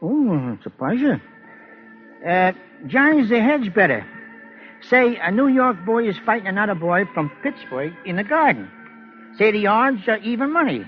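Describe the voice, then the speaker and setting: An actor playing a nervous, shifty small-time hustler in an old radio drama, with hesitant, wheedling, mock-genteel patter. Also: male voice, high-pitched, sing-song, moderate pace. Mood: eager informing. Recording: muffled vintage radio recording, faint music.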